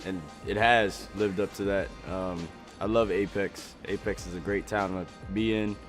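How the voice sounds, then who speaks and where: A young college athlete speaks with an upbeat tone, dropping small uhs between thoughts. His voice runs a little low. Faint music plays behind. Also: fairly even intonation, measured pace. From Delaware. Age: young adult. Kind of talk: recorded player interview. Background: music bed.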